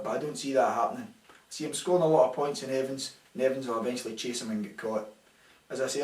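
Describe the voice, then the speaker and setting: Fast-talking dry Scottish co-host. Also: male voice, medium pitch, darkish tone, echoey room, distant mic, rough recording. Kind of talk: casual comic sports chat.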